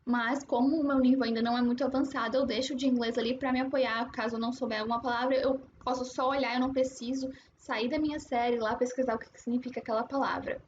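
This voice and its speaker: A young woman walks the viewer through her study routine in a chatty, step-by-step way. Her voice sits a little high, and a faint hiss sits under the talk. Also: fairly even intonation, fast, few pauses, highs cut off. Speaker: language-learning host. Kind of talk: casual screen-share tutorial.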